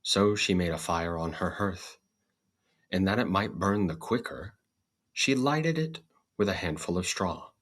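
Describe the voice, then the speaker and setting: Slow, very deep, husky male storyteller. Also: lively intonation, a bit bright. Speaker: host and story reader. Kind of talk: scripted storybook narration.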